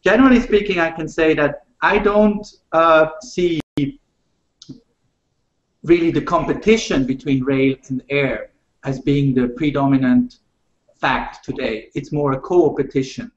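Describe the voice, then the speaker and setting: A man from Switzerland, a rail industry panelist speaking in a measured, halting way with many fillers. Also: on the high side, steady tone. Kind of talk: panel discussion over video call. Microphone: video call. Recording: roomy, muffled video call audio.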